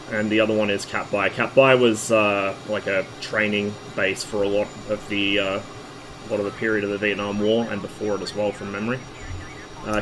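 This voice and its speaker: A hoarse man, losing his voice, casually talking through a flight-sim stream in a relaxed, rambling way. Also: lowish voice, a little hiss or hum, low-quality recording. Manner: relaxed, rambling, with fillers and asides.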